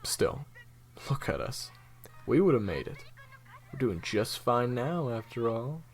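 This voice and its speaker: Casting: man to play a sarcastic young former assassin, foul-mouthed roommate, slow pace, very lively pitch. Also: soft background music. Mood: wry. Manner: slangy, bantering, foul-mouthed. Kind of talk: audio drama roleplay dialogue.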